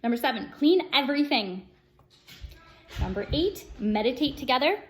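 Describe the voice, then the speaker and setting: A woman's voice: high-pitched, lively intonation, moderate pace. A woman speaking as a self-help vlogger, chatty and earnest, addressing her viewers directly and sometimes reading from her own script. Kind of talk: upbeat personal vlog, partly reading from a script.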